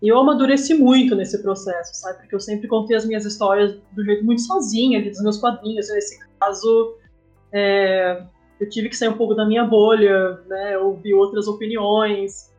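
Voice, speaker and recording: A woman's voice: mid-range voice, fairly even intonation, moderate pace, a little mellow, soft background music. A woman with a Brazilian accent speaking casually as a guest in a podcast interview, often checking for agreement with tag questions. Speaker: guest, comic artist and game writer.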